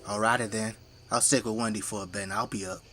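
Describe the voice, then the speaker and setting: A man's voice: on the low side, fairly even intonation, fast talker, fairly bright tone, faint music behind. An actor playing a folksy, teasing middle-aged Midwestern family man who works as a zombie-fighting janitor in an audio drama. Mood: warm, teasing.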